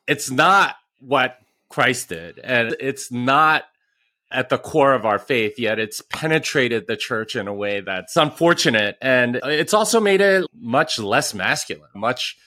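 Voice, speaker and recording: A man in his forties who grew up in Korea, speaking as a podcast guest in a reflective, conversational way with pauses and restarts. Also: medium pitch, pushed and bright, no room sound. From South Korea. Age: 40s. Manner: reflective, pausing with frequent restarts. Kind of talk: conversational interview.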